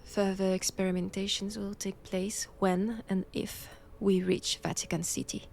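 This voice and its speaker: A woman with a French accent playing a stern, cold vampire-hunting nun in an intimate audio roleplay, measured and commanding. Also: mid-range voice, little pitch movement, vocal fry, darkish tone, a little hiss or hum. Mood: clinical, controlled.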